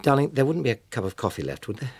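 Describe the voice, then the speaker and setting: A man's voice: mid-range voice, sing-song, quick pace, a little mellow, slight background noise. A man acting in a radio drama as a middle-aged, guilt-stricken father, speaking in an earnest, hesitant, apologetic way. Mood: subdued.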